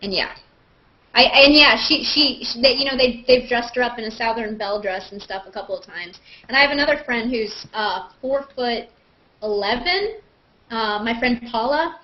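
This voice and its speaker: A woman's voice: fairly high pitch, moderate pace. A woman chatting casually and jokingly in slangy speech on a live group video call. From Canada. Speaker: host. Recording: dull, lo-fi video-call audio.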